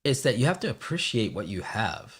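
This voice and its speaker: A man's voice: mid-range voice, very animated, a little fast, fairly bright tone, slightly rough. Earnest thirtysomething man giving advice. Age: 30s. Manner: earnest, mentoring, repeats his points for emphasis. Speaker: host of a live stream. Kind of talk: casual chat, giving advice. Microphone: video call.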